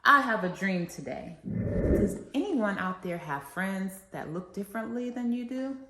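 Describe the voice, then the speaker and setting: A woman's voice: medium pitch, animated, a little slow, bright, forceful tone. A woman reading aloud and explaining for children, as an educator leading a read-along. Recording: echoey, reverberant room sound.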